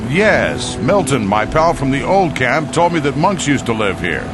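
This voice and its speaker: A man's voice: medium pitch, big pitch swings, unhurried. A man playing a friendly, seasoned hunter in a video game, hearty and chummy in manner. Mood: relaxed, chummy. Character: friendly seasoned male hunter, cheerfully bold fighter. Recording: loud music behind the voice.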